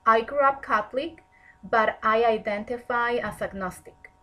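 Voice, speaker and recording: A woman's voice: on the high side, sharp tone, poor audio. Earnest thirty-year-old woman. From Mexico. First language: Spanish. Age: 30s. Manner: earnest, measured, with frequent ums. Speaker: graduate student. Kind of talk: reading a prepared self-introduction.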